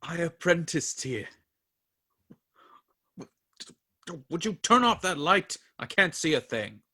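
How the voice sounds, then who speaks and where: An old miser in a radio play, revisiting the past with wistful, pleading feeling. His voice sits a little high. It swings widely in pitch. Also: sing-song, moderate pace, pushed and bright, heavily acted. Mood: irritable.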